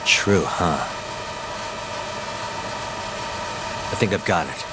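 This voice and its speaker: An actor playing a brash, laconic private detective in a video game, with a dryly teasing manner. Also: male voice, deep voice, moderate pace, very noisy, close to an everyday voice. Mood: scheming, wry. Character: dry, brash, reckless male private detective. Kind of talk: English game dub acting.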